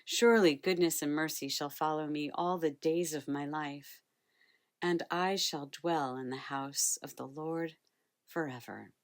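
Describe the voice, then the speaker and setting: A woman solemnly reciting scripture at a memorial, measured and phrase by phrase. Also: low-pitched. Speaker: reader at a memorial service.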